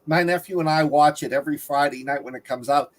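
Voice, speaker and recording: Woman chatting at a medium-low pitch. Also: fast. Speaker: panelist on a movie review show. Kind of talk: casual conversational chat.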